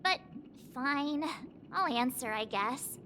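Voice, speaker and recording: A woman's voice: high voice, lively intonation, takes it slowly, sharp tone, exaggerated voice. A woman voicing a perky, blunt teenage girl in a fantasy video game, with a girlish manner. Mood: puzzled, reluctant. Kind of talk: scripted game dialogue.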